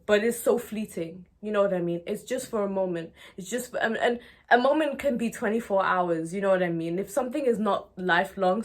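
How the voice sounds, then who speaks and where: Candid, expressive young woman from Nigeria. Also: medium pitch. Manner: conversational and expressive, often checking in with "you know". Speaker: video blogger. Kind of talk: candid personal talk to viewers.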